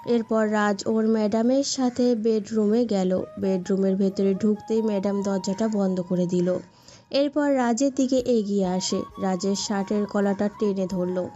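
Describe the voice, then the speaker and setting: A woman's voice: medium pitch, measured pace, dark tone. A woman narrating a scripted romantic story as its storyteller. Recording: dull lo-fi sound, background music.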